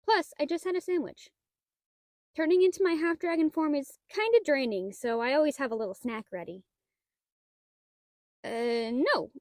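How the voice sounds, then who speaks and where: A woman's voice: sits high, darkish tone, dry recording. A woman playing a shy, nerdy, rule-bound half-dragon librarian, flustered and rambling with dry wit in an audio roleplay. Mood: awkward, scheming. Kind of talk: scripted audio roleplay addressed to the listener.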